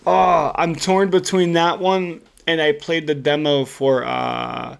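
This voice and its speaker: A man co-hosting a podcast, chatting casually in an excitable, slangy and emphatic way. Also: fairly high pitch, slow, vocal fry, clear, resonant tone. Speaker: podcast co-host. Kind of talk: casual chat about video games and films.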